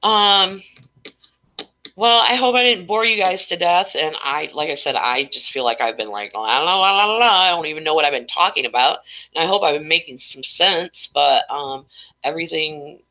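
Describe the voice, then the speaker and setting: A woman's voice: low-pitched, animated, moderate pace, bright, forceful tone, poor audio. A woman hosting a casual live stream, chatty and rambling, stuffed up and losing her voice. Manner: rambling, chatty, reading chat names aloud.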